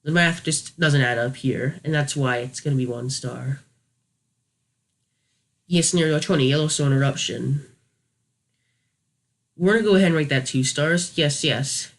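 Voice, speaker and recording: A man's voice: on the high side, normal speed, pauses often. A young man giving a casual, unscripted review, thinking aloud in a hesitant way as he goes. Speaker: YouTube reviewer.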